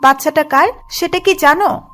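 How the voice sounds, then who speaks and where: A woman's voice: high voice, moderate pace, bright, forceful tone. A woman playing a jealous, pushy female boss in love with her employee, in an audio love drama. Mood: mocking, insistent. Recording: music under the voice.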